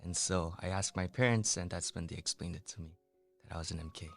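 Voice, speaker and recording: A man in his twenties, born in Korea and raised in Brazil, answers interview questions and tells lively stories. His voice is fairly low. Faint music plays underneath. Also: fast talker, vocal fry. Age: 20s. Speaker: guest.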